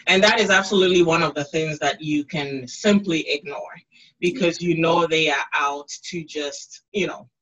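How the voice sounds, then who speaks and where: Bright-voiced woman host on webcam. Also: sits low, moderate pace, dull audio. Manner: warm affirming interviewer, many mm-hmms. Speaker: interviewer, show host. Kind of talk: casual video-call interview. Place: room at home. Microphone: video call webcam.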